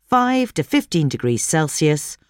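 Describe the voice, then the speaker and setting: Female narrator for instructional read-aloud: deep register, very varied melody, measured delivery, dry close sound. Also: big pitch swings, clear, resonant tone, dry recording. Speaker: narrator reading a gardening magazine article.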